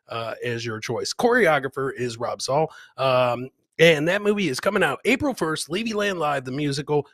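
A man's voice: on the high side, fairly bright tone, no echo, studio quality. A middle-aged man hosting a live clip show, chatting casually and addressing viewers directly like a hype announcer. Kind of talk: casual livestream chat and commentary. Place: indoor home streaming setup. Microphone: close boom microphone with headphones.

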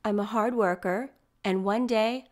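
A woman voicing a determined, ambitious young woman in an animated comedy, speaking in earnest declarations. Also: medium pitch, measured pace, lightly acted. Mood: determined, hopeful.

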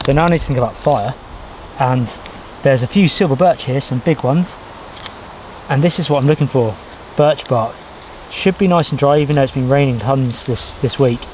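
Brief: man in his 30s, mid-pitch, relaxed hands-on explainer outdoors, faint hiss behind. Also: moderate pace, rough recording. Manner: explains while working with his hands, restarts often. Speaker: bushcraft vlogger. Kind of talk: casual outdoor how-to narration. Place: outdoors in a pine forest.

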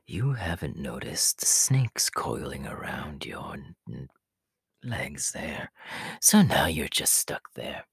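Sinister, possessive male snake villain for ASMR roleplay: extremely deep, very slow, raspy and menacing. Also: very animated, dry recording, studio quality, exaggerated voice. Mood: creepy taunting. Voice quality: breathy, raspy whisper. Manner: slow, drawn-out, gloating.

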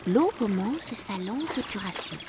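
A woman's voice: mid-range voice, animated, measured pace, heavy background noise, close to an everyday voice. A woman voicing a tiny, friendly spider who enthusiastically guides children and explains nature in an educational cartoon. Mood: explaining, reassuring. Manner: enthusiastic, instructive, playful. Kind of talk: animated educational cartoon acting.

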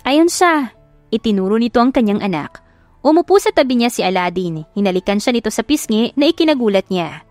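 A woman's voice: medium pitch, sing-song, quick pace, darkish tone, no room sound. A woman with a Filipino accent giving a scripted, dramatic narration of a romance story. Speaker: audiobook narrator. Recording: music behind the voice, dry studio sound. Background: background music.

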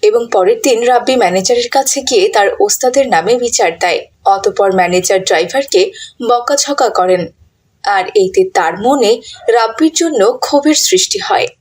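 A woman's voice: on the high side, expressive, a little fast, darkish tone, a little hiss or hum. A woman giving scripted narration for a children's moral cartoon. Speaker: story narrator.

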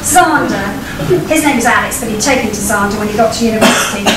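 Echoey, high-voiced Englishwoman reading a story onstage. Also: distant mic, a loud crowd. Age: middle-aged. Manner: wry, theatrical, deliberate phrasing. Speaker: writer reading their own short story. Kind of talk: live expressive storytelling reading. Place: small vaulted performance venue, on stage. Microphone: distant camera microphone. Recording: echoey stage recording from afar, noisy room. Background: live audience ambience.

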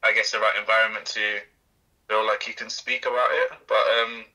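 A man's voice: fairly low pitch, little pitch movement, moderate pace, bright, forceful tone, low-quality recording. Young man, hesitant phone interviewer. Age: young adult. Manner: hesitant, many fillers. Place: small room indoors. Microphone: phone held to ear.

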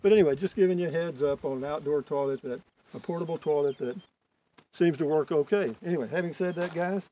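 A man's voice: on the high side, fast, dark tone, dull audio. An older man casually demonstrating camping gear beside his truck outdoors, rambling and folksy, correcting himself as he goes. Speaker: vlogger reviewing gear. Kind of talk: casual product demo. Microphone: camera close up on tailgate. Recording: outdoor recording with clear background noise.

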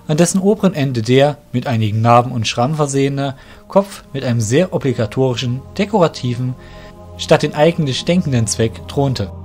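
A man narrating a fantasy parody audio drama in a measured, descriptive scripted reading. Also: medium pitch, a little mellow.

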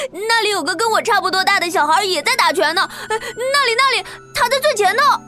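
A woman playing an excited little child in an audio drama, eager and repeating words. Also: very high voice, moderate pace, faint music behind, full character voice. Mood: excited delight.

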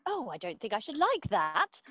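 A woman's voice: a little high, wildly swooping pitch, moderate pace, a bit bright, dull audio, heavily acted. An actress playing a playful, teasing young upper-class lady in a period comedy radio play, airy and pert.

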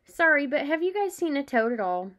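A woman's voice: high-pitched, big pitch swings, brisk, a bit bright, pitched above the performer's own voice. A woman voicing a tearful, forgetful young boy who speaks in a miserable wail in a storybook. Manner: wailing, miserable.

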